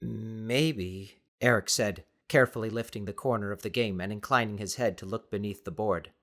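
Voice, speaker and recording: A man's voice: fairly low pitch, fairly even intonation, quick pace, fairly bright tone. A man reading scripted fiction as a dramatic audiobook narrator.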